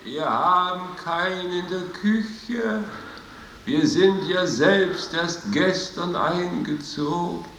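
An actor playing a sullen, servile, complaining servant in a comic radio play. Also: male voice, sits high, slow pace, fairly bright tone, reverberant, slight background noise, full character voice, rough recording. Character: put-upon, grumbling male servant of a god. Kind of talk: classical comedy radio play acting.

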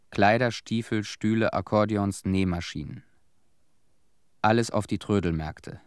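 A disillusioned young war-weary cleanup veteran in a radio drama. His voice sits low and is recorded dry and close. He gives his testimony soberly, in short clipped sentences. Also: no echo. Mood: matter-of-fact, wry. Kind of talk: radio play monologue, testimony.

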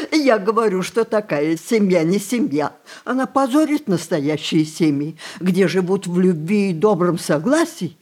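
A spirited, theatrical old grandmother. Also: medium pitch. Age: older. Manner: theatrical, emphatic, with drawn-out words. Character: spirited, rebellious 106-year-old grandmother, mischievous and sharp-tongued. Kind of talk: stage-style audio play acting.

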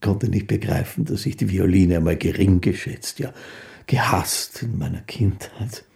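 Gentle old street musician in a radio play, low mellow voice, halting n old-fashioned, very devout. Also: male voice, gravelly. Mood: tender reminiscence. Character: poor, devout, gentle old street fiddler recalling his life. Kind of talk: literary radio play monologue.

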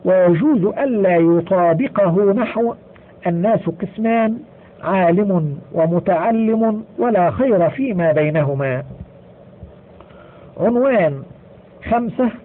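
Man, high voice, tinny phone-like sound, measured textbook reading. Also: expressive. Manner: measured, slows to stress example sentences. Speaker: audiobook reader. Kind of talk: reading an Arabic grammar textbook aloud.

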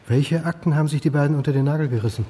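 A man's voice: fairly high pitch, very fast, warm tone, slight background noise, lightly acted. An actor playing a polite but insistent history lecturer in his forties in a radio drama. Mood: dry, annoyed. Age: 40s. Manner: polite, insistent, slightly annoyed. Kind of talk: German radio drama acting.

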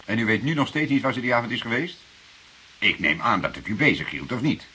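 An actor playing a shrewd older police detective in a Dutch radio drama, questioning deliberately with an insinuating irony. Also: male voice, mid-range voice, big pitch swings, sharp tone, husky, heavily acted. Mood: pressing. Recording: hissy radio play recording.

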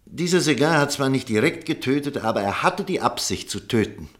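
A man's voice: medium pitch, lively intonation, a little fast, a bit bright, natural voice. A man acting an indignant, stubborn doctor in a German radio play, arguing emphatically. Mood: defensive. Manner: emphatic, argumentative. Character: indignant, stubborn male doctor defending scientific truth.

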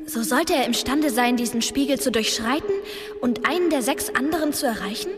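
An actress playing a curious, sceptical young companion in a German fantasy radio drama, direct and questioning. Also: female voice, high-pitched, fairly quick, close to an everyday voice. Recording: long reverberant cave echo.

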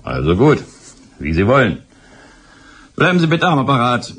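An actor playing a dutiful police sergeant with a dry, matter-of-fact manner in a German radio play. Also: male voice, medium pitch, huge pitch swings, normal speed, fairly bright tone, slightly rough, exaggerated voice. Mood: dry, businesslike. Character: dutiful, dryly humorous male police sergeant.

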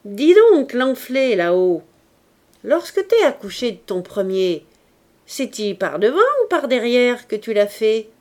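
A woman voicing a coarse, boozy old female cook who jeers loudly at the others. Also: fairly high pitch, sing-song, a little slow. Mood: jeering. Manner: loud jeering.

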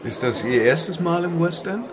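An actor in a radio play voicing a witty, urbane playwright who asks dry, probing questions. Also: male voice, on the high side, animated, moderate pace, a crowd in the background, natural voice. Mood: wry, probing.

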